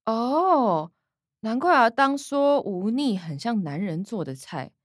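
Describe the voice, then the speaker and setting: An actress with a Taiwanese Mandarin accent voicing a sarcastic, laughing young adult daughter in a radio drama. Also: female voice, on the high side, animated, no room sound, studio quality. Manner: sarcastic, laughing, quick retorts.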